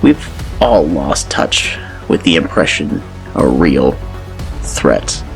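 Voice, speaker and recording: Tobias, a man voicing a gravelly menacing enforcer. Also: a bass voice, sing-song, soft background music, heavily acted. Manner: slow, measured threats with dark relish. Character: menacing male corporate enforcer, calm and cold. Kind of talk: audio drama acting.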